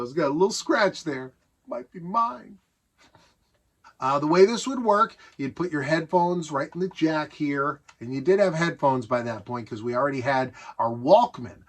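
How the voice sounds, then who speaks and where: A man's voice: on the high side, fairly bright tone. A middle-aged man with an American accent giving a casual, nostalgic show-and-tell, enthusiastic and conversational with self-amused asides. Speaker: host showing a vintage electronics collection.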